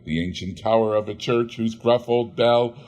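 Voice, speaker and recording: Low-voiced man reading a classic novel. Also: steady tone, darkish tone. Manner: measured storytelling. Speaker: audiobook reader.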